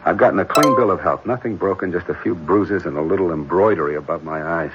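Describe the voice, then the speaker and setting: A man playing a smooth, evasive young heir in an old-time radio drama, clipped and impatient, hesitant when pressed. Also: low-pitched, quick pace, close to an everyday voice. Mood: reassuring, affectionate. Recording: muffled, noisy old radio broadcast recording.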